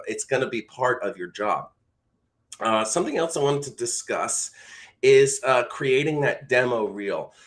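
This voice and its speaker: A man's voice: mid-range voice, fairly bright tone. A man teaching voice-over in an informal, conversational way, explaining step by step as an instructor.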